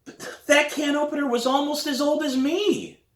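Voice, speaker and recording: A man's voice: very high voice, steady tone, moderate pace, fairly bright tone, voice pushed up. A man voicing an eager, exasperated preteen kid in a children's book, pleading and defensive, with a whiny manner. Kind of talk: acted dialogue in a children's book reading. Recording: roomy echo.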